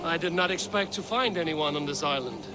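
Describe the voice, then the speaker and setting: Man playing Viking traveler, slightly high voice, plain questioning manner, video game, clear music behind. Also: expressive, fairly quick, natural voice, poor audio. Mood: puzzled. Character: puzzled Viking traveler newly landed on an island. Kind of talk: video game character dialogue. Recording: music under the voice.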